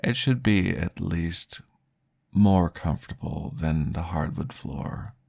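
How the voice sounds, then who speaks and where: Deep, gravelly, slow-spoken vampire therapist, formal and reassuring, in an ASMR roleplay, voiced by a man. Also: darkish tone, exaggerated voice. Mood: coaxing.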